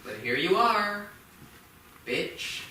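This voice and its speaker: Taunting teen boy, played by an actor, slow, higher voice, distant stage recording. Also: very animated, sharp tone, a little hiss or hum, exaggerated voice. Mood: taunting, cocky. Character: taunting, cocky teenage boy playing robber. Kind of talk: staged play reading. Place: small theatre, staged reading. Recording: echoey theatre from far off.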